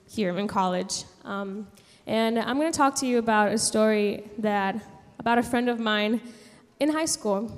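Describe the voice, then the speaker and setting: A young woman from San Juan tells a personal story to a gathering, haltingly, pausing mid-sentence. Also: a little high. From San Juan, Puerto Rico. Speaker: speaker giving a personal testimony. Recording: long hall reverb.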